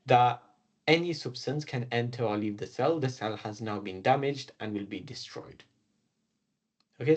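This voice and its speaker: A man's voice: medium pitch, darkish tone. British man teaching a biology lesson. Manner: explains step by step, often saying "okay" and "um". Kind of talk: recorded classroom lecture.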